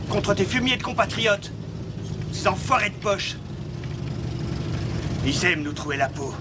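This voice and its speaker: An actor dubbing a soldier who talks bluntly and coarsely. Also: male voice, high voice, lively intonation, a little slow, heavy background noise. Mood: bitter. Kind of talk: French film dub.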